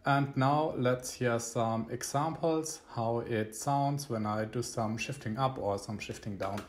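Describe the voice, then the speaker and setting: A young man, not a native English speaker, giving a halting, explanatory screencast tutorial. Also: medium pitch. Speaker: electronics tutorial host.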